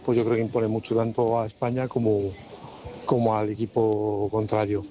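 A man's voice: fairly low pitch, level tone, moderate pace, warm tone, slight background noise. A man with an accent from Spain giving a casual sports opinion as a caller to a radio show. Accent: Spanish, from Spain.

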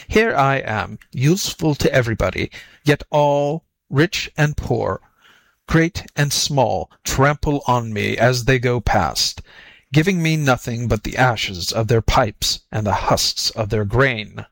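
A man voicing an embittered talking road in a storybook, scolding and aggrieved in a deliberate manner. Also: medium pitch, lively intonation, fairly bright tone, no room sound. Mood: bitter, scolding. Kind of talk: storybook character voice.

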